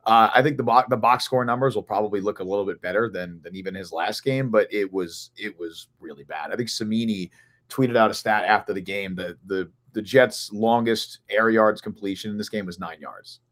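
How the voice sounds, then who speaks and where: Hoarse, raspy man talking fast. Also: fairly low pitch, fairly bright tone. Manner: earnest, thinking aloud in long run-on stretches. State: hoarse from losing his voice. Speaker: sports podcast co-host. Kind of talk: casual sports analysis chat.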